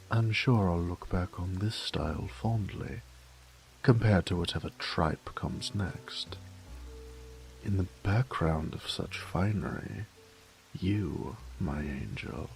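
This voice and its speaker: A man's voice: deep voice, vocal fry, darkish tone, exaggerated voice, poor audio. A man playing an ancient, haughty, predatory vampire lord in an audio drama, with a pompous, condescending, theatrical drawl and whispered asides. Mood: disdainful, then mocking pity. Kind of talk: scripted roleplay audio drama.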